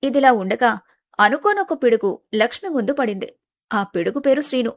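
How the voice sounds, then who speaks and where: A woman's voice: a little high, lively intonation, normal speed. A woman narrating a scripted family story.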